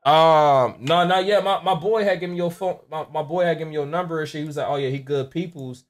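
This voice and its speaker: A man's voice: on the high side, quick pace. A young American man chatting casually in heavy slang, stammering and repeating his words as he makes a phone call from a room at home. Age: young adult. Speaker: prank caller posing as a rapper client. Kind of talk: casual phone prank chat.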